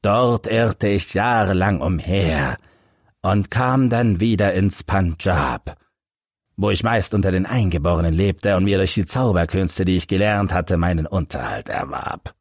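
A man's voice: deep voice, no echo, clean studio sound. A man voicing an embittered, crippled old ex-soldier who solemnly recounts his suffering in an audiobook story. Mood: weary, matter-of-fact. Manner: solemn, measured, literary delivery. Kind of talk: audiobook first-person storytelling.

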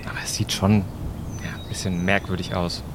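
A man's voice: low-pitched, flat delivery, moderate pace, background noise, voice pushed down. A man playing an easygoing, adventurous, rational time traveller in an amateur audio drama, casual and understated. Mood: intrigued.

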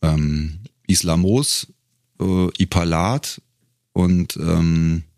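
A man's voice: low voice, fairly even intonation, drawn-out words, a little mellow, dry recording, clean studio sound. A middle-aged man chatting casually as an interview guest, telling anecdotes with a joking touch. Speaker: podcast guest, professional voice-over speaker and singer. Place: close-miked in a dry room.

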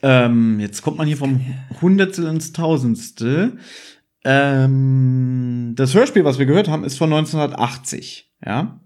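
A man's voice: mid-range voice, expressive, a little slow, a little mellow. Man chatting as a podcast host. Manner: discursive, adds asides and tag questions. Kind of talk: casual podcast chat, explaining.

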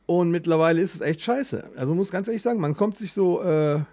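Higher-pitched German man, close dry sound. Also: fairly quick, dark tone, dry recording. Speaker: podcast co-host. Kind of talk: casual podcast banter.

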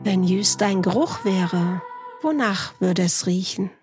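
A woman's voice: fairly low pitch, lively intonation, takes it slowly, music in the background. A woman hosting a podcast, chatting casually and playfully with anecdotes and teasing questions. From island of Juist, Germany. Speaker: podcast host. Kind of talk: casual conversational interview.

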